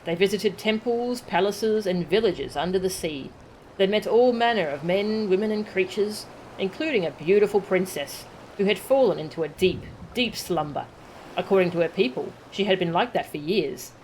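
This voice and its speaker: A woman voicing an old male storyteller who loves telling tales, read aloud from game dialogue in a steady narrating tone. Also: medium pitch, moderate pace, slight background noise, natural voice, low-quality recording. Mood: storytelling.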